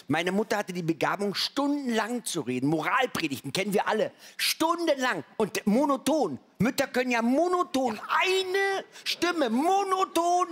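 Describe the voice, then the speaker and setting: Middle-aged man from Berlin, husky, comic storyteller, studio panel. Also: extremely high pitch, very animated, moderate pace, few pauses, fairly bright tone. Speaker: comedy panelist. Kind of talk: comic anecdote. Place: TV studio with audience.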